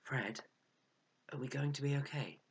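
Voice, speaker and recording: A wistful, kind young girl from a children's storybook, as a male reader puts her on. The voice is lifted well up, hushed and breathy, with a husky grain. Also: mid-range voice, moderate pace, pitched above the performer's own voice.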